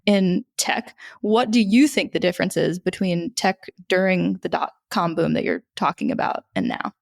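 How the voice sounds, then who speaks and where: A woman's voice: lowish voice, normal speed, vocal fry, dry recording, clean studio sound. A woman hosting an interview podcast, reading an upbeat scripted intro and then asking short questions. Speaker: podcast host.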